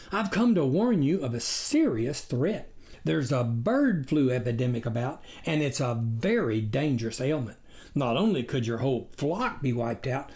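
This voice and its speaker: A narrator voicing a smooth-talking, wily coyote posing as an official, over-polite and wheedling, with a baying, yapping quality. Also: medium pitch, darkish tone. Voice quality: baying, yapping, at times near howling. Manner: over-polite, wheedling, indignant, repeats words.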